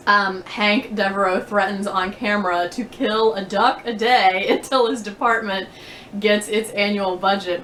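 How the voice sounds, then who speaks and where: A woman's voice: medium pitch, fairly bright tone. A chatty woman who laughs easily, talking casually to camera about books in a bedroom. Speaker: book vlogger. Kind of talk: casual chat to camera answering tag questions. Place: bedroom indoors. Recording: roomy echo, faint background noise.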